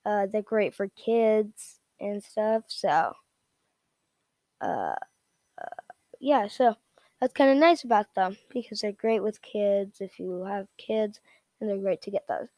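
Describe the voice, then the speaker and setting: A woman giving a casual, unscripted product rundown in a halting, repetitive, informal way. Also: medium pitch, expressive, mellow, no echo, studio quality. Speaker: product reviewer.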